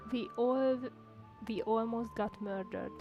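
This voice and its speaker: Slow-talking woman reacting to a game. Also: a little high, highs cut off. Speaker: gaming reaction streamer. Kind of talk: casual spoken reactions to gameplay. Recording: game music behind.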